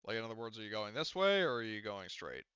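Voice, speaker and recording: A man narrates a tabletop game as game master in a bright, smooth voice. He explains casually and haltingly, circling back with asides. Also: lowish voice, sing-song, dry recording, lo-fi sound.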